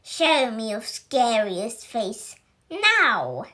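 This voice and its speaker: A woman's voice: fairly high pitch, big pitch swings, takes it slowly, sharp tone, full character voice. A woman voicing a harsh witch schoolteacher who snarls orders and mocks her pupils, in a storybook reading. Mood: commanding. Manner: snarling, mocking, commanding.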